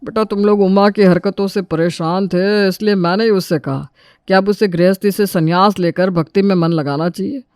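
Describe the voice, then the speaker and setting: A middle-pitched older family patriarch , put on by a woman, weary and resigned. He explains things in a helpless, regretful way, as in a storybook drama, recorded close and dry. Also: darkish tone, clean tone, no room sound, natural voice. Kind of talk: acted dialogue in a moral story.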